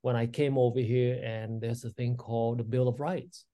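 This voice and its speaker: An earnest man in his sixties, originally from Vietnam, talks as a podcast guest. He speaks with a mellow, dark tone, and his sentences are full of fillers and restarts as he works his way through his points. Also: medium pitch, little pitch movement, moderate pace, highs cut off. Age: 60s. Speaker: guest, state legislator. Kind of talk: casual interview talk.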